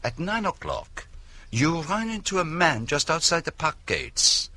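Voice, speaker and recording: Shrewd detective, bright-voiced and animated. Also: male voice, on the high side, full character voice. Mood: methodical, probing. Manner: deliberate, probing, rhetorical questions. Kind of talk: radio drama acting.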